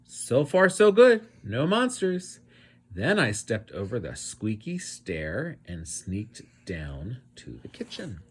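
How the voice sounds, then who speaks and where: A man reading a children's storybook aloud in a dramatic, expressive, suspenseful way. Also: medium pitch, takes it slowly, a little hiss or hum. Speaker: read-aloud teacher narrating.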